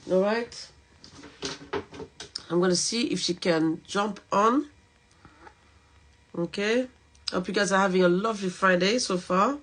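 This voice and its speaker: Host role: chatty French-accented woman, mid-pitched, casual live video-call podcast, getting over a cold. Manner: chatty, warm greetings, lots of "okay" and "all right" fillers. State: recovering from a cold.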